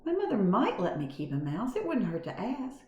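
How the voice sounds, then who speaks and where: Brief: woman doing a wary, stubborn young boy, high and blunt, roomy echo. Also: huge pitch swings, moderate pace, a little mellow, highs cut off.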